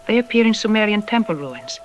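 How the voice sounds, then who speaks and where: A man acts as a grave archaeology doctor interviewed on apocalyptic radio drama news. The voice is high, deliberate and troubled, pausing ominously before key words. Music and rain run underneath. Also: sing-song. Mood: grave, uneasy.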